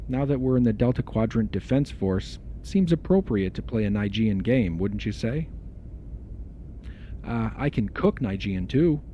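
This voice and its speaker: A man playing an affable, wry starship commander in a fan audio drama, talking in a relaxed, informal way. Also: mid-range voice, muffled recording, slight background noise, lightly acted. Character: affable, curious, teasing male starship commander.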